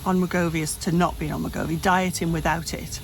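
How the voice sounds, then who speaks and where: Woman, 58, candid vlogger, raspy fry in the voice, rambling with restarts, noisy room sound. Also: fairly low pitch, level tone, fast talker. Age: 50s. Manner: rambling, frequent restarts and self-corrections. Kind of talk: candid personal vlog. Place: home, hot room.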